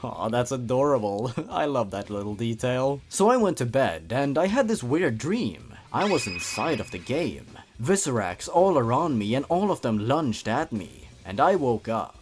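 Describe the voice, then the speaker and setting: A man's voice: mid-range voice, very animated, moderate pace, few pauses, breathy edge. A man hosting a comedic reading, moving between dramatic narration and wry, sarcastic asides with theatrical emphasis. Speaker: comedy video host reading and commenting on horror fan fiction. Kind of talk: comedic commentary mixed with dramatic story read-aloud.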